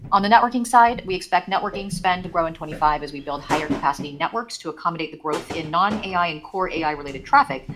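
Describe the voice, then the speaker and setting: Fast, low-pitched woman executive. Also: noisy background. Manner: formal, reading out. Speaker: company executive. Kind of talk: scripted earnings call presentation.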